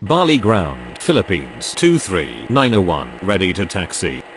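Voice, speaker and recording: Guy doing deep pilot radio calls in a game, really up and down intonation, formal, clipped. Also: big pitch swings, unhurried, slight background noise, full character voice. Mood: businesslike. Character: formal male airline pilot and air traffic controller. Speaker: pilot and air traffic controller voice in a game.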